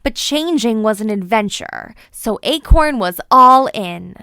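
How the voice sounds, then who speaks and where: Lady reading a kids book out loud, crisp n fairly high, playful storytelling, no echo. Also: lively intonation, unhurried, clean studio sound. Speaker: children's book read-aloud presenter. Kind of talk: expressive storybook narration.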